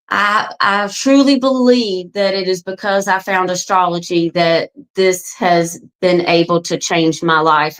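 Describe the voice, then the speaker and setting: A woman's voice: on the low side, low-quality recording. Forties woman, wellness coach type, earnest and chatty, addresses folks directly, filler-heavy. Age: 40s. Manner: frequent ums and "right?" tags. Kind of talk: casual direct-to-camera promo talk.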